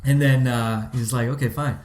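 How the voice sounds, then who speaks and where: A man's voice: medium pitch, steady tone, moderate pace, a little mellow, echoey room. A man from Zimbabwe, a guest in an interview, talking casually and often restarting his sentences. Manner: hesitant, many restarts and fillers.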